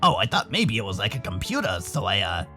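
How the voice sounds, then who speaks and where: A man voicing an awkward, anxious furry animal housemate in a visual novel, with a low gravelly voice and hesitant manner. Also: huge pitch swings, a little fast, bright, forceful tone, exaggerated voice. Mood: explanatory. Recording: music behind the voice.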